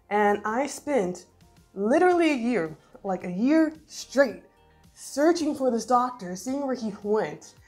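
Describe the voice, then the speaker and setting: Chatty young woman with a congested voice. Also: mid-range voice. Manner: chatty, animated, addresses viewers directly. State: slightly congested, recovering voice. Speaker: beauty vlogger. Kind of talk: casual vlog tour narration. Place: indoor room at home, talking to camera.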